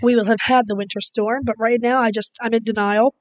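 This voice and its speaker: A woman co-hosting a podcast in casual chat, hoarse while recovering from illness, matter-of-fact with dry humor. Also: fairly high pitch, fairly even intonation, a little fast. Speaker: podcast co-host. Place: small home studio, at a table.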